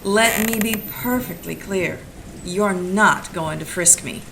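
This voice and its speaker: A woman's voice: mid-range voice, slow pace, gravelly. A tough, wisecracking lady detective, voiced by a woman, speaks bluntly in hard-boiled style. Her voice sits mid-range. A faint hiss lies under the radio play. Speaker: actor in community audio drama.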